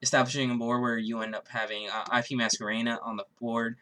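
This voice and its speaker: A man's voice: medium pitch, flat delivery, fast talker, sharp tone, a little hiss or hum. A man who is a little sick, with a stuffy nose and sore throat, casually walking through a card game deck, rambling with lots of fillers. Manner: rambling, filler-heavy, restarts often.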